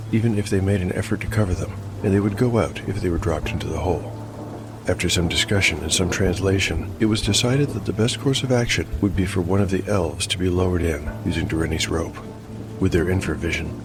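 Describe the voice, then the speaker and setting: A man with a deep voice narrates a fantasy audio drama as its game master. His delivery is scripted storytelling, set over clearly audible music.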